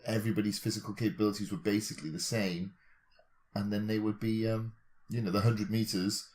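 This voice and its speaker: Deep-voiced man reasoning aloud in conversation. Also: little pitch movement, a little fast, a little mellow. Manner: discursive, reasoning aloud with examples. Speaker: podcast co-host. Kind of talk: casual speculative discussion.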